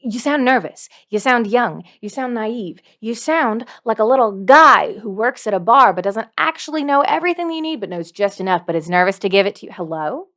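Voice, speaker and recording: A woman's voice: on the high side, expressive, brisk, hardly any pauses, fairly bright tone. A woman presenting a scripted tutorial to camera as a dungeon master, emphatic and playful, with dry asides. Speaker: video host, dungeon master.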